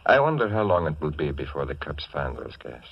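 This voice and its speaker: A courteous, earnest Greek immigrant man in a 1940s radio play, with a very deep, rather husky voice. He speaks politely and guardedly, on a muffled vintage recording. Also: sing-song, a little fast, dry recording, exaggerated voice. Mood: friendly. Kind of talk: 1940s radio drama acting.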